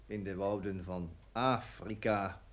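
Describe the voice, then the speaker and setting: A man's voice: low voice, steady tone, slow, lo-fi sound. An actor playing an emphatic man in a radio play who dictates, repeating phrases and speaking out the punctuation. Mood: solemn, emphatic. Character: slow, emphatic man dictating about the lion. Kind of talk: radio drama acting.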